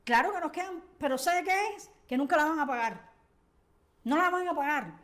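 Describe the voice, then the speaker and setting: An older woman from Cuba speaks with a Cuban Spanish accent in a high, clear-pitched voice. She talks earnestly and thoughtfully as an interview guest, pausing often with small hesitations as she gathers her words. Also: expressive, a bit bright. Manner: earnest, reflective, with frequent hesitations. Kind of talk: conversational interview.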